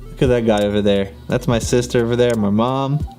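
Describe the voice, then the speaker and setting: A man's voice: mid-range voice, fairly even intonation, fairly quick, mellow, faint music behind. A young man from Brazil with a Brazilian Portuguese accent, talking casually to camera, laughing often and filling gaps with ums. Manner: chatty, laughs often, many fillers and restarts. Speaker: YouTuber.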